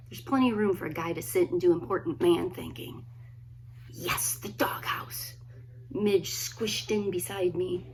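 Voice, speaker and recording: A woman, a children's author, reading a story aloud expressively, with dramatic pauses and hushed emphasis. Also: fairly low pitch, a little hiss or hum, rough recording. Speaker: children's book author reading own work. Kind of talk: expressive storybook read-aloud, friendly introduction.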